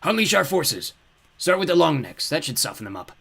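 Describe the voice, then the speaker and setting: Storybook villain: commanding male fire demon, booming, imperious, menacing, acted by a male reader. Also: sing-song, fairly quick, creaky, a bit bright, heavily acted. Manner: grandiose, imperious.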